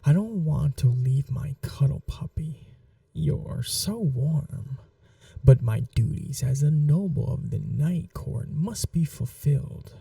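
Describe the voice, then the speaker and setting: A woman playing a possessive, seductive vampire noblewoman in an intimate ASMR roleplay, speaking softly and breathily, often whispering, with a languid, coaxing manner. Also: low-pitched, lively intonation, creaky, no room sound, distant mic, heavily acted. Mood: tender, soothing. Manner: slow, languid, teasing and coaxing.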